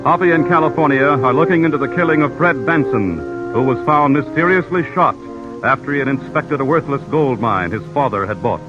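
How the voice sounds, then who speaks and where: A man's voice: mid-range voice, a little fast. A man with an American accent reading scripted narration as an old-time radio announcer. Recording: dull vintage radio recording. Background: loud music.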